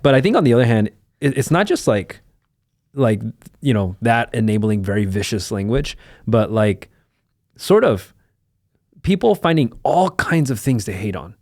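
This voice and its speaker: A man's voice: mid-range voice, big pitch swings, normal speed, pauses often, darkish tone, dry recording, clean studio sound. A man co-hosting a podcast, talking casually and explaining things in a rambling way through examples. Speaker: podcast co-host. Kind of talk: casual conversational discussion.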